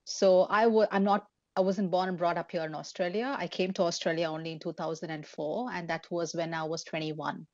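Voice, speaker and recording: A woman in her thirties with an Indian accent speaks to students over a laptop webcam, chatty and a little self-deprecating. Her voice sits on the low side, and the sound is dry and close with a slightly dulled, video-call quality. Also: steady tone, a little fast, a little mellow, dry recording, muffled recording. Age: 30s. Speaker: engineering professor. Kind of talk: online forum talk for students. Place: indoors at home, plain wall behind.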